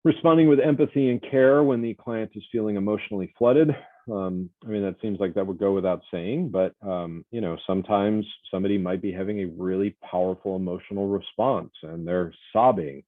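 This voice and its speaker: A man lecturing to a college class in a loud, projecting voice, talking conversationally with many fillers and self-corrections. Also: fairly low pitch, brisk, dry recording. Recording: dry, phone-like lo-fi audio.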